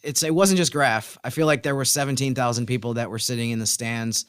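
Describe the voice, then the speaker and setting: A man's voice: mid-range voice, fairly even intonation, brisk, fairly bright tone, smooth, no room sound. A man co-hosting a podcast, talking sports in a casual, conversational way. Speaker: podcast co-host, sports reporter.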